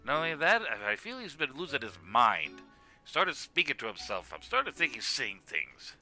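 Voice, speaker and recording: A man's voice: medium pitch, very animated, moderate pace, sharp tone, soft background music, exaggerated voice. A man voicing a hot-tempered, sarcastic cartoon Viking with a blunt, exasperated delivery. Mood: aggrieved. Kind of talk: comedic cartoon voice acting.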